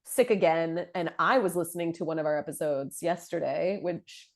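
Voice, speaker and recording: Low-voiced woman with a stuffy cold. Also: moderate pace. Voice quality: deep voice. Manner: chatty, self-deprecating, filler-heavy. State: nasal from a head cold. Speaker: podcast co-host. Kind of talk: casual chat.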